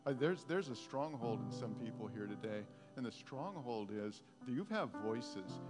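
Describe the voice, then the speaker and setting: A pastor leading a prophetic prayer time, speaking softly and haltingly, repeating his words. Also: medium pitch. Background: guitar music.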